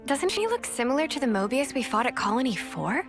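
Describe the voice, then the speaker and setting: A woman's voice: high-pitched, very animated, fairly quick, over music. A woman playing a trusting, outspoken young fighter in a game, with a blunt, informal manner. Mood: upbeat, curious.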